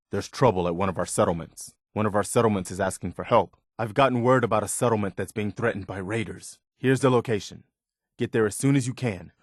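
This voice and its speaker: An actor voicing an earnest, resolute militia leader who rallies settlers, in video game dialogue. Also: male voice, lowish voice, no echo, studio quality, lightly acted.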